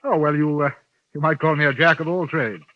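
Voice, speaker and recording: A man playing a polite English gentleman reporter. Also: a little high, fairly quick, natural voice. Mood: wry. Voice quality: polite and understated. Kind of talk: 1950s radio drama acting with narration. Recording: dull lo-fi 1950s radio recording with music.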